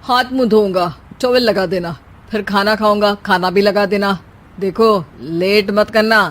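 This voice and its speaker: A woman storyteller voicing a hurried, demanding husband who teases flippantly in a domestic story. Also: medium pitch, close to an everyday voice. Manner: bossy, flippant, impatient.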